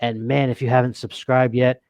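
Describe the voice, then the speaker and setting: A man's voice: medium pitch, little pitch movement, fairly quick, darkish tone, smooth, dry recording. A man talking casually as co-host of a game review show, conversational and addressed to a partner and viewers. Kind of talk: casual chat, asking questions and signing off to viewers.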